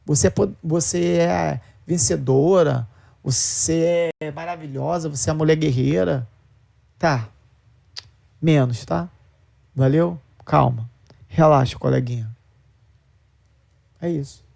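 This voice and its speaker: A man's voice: fairly high pitch, takes it slowly, gravelly, long pauses, warm tone. A man giving blunt, lecturing opinions, mocking the views he argues against. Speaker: podcast commentator. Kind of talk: opinionated podcast talk.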